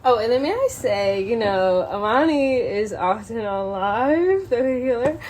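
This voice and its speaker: A woman's voice: a little high, expressive, unhurried, darkish tone, slight background noise. A young adult woman talking informally on a livestream, rambling in slangy, hesitant, self-conscious speech. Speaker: livestreamer introducing a book reading. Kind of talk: casual, unscripted livestream chat.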